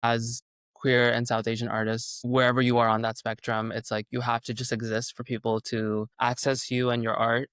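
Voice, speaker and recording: A man's voice: mid-range voice, completely flat delivery, moderate pace, fairly bright tone, smooth, no echo, studio quality. A man in his twenties, whose first language is Urdu, answering interview questions in a casual, upbeat way, with lots of "like" fillers. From Canada. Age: 20s. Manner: chatty, heavy "like" fillers, upbeat. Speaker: musician guest.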